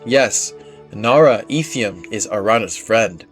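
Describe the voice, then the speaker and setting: Man as a deliberate little forest spirit. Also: lowish voice, expressive, soft background music. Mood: matter-of-fact. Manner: simple broken phrasing, deliberate. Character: small gentle forest spirit, earnest and solemn.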